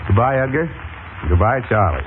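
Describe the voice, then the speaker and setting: A man announcing on 1930s radio in a formal, measured, scripted style. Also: mid-range voice, lively intonation, heavy background noise. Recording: tinny 1930s radio broadcast.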